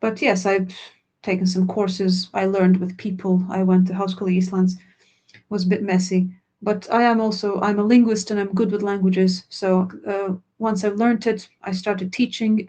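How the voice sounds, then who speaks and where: A woman whose native language is Croatian, explaining her work in a sincere, straightforward way during a webinar Q&A. She speaks at a middle pitch, as a teacher walking through her answers. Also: flat delivery, normal speed, warm tone, lo-fi sound. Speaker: language teacher and product founder.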